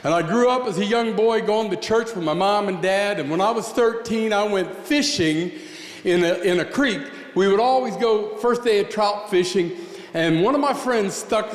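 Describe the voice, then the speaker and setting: A man from southeastern Pennsylvania tells a story on a coffeehouse stage, folksy and joking with a deadpan touch. His voice sits high. The sound rings through a roomy space with a long reverb tail. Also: normal speed. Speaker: storyteller performer. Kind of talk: spoken storytelling. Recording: echoey room with long reverb.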